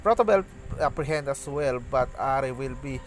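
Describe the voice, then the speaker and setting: A man giving practice play-by-play commentary on an esports match, halting with frequent restarts and bursts of excitement on big plays. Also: mid-range voice, soft background music. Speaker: esports caster.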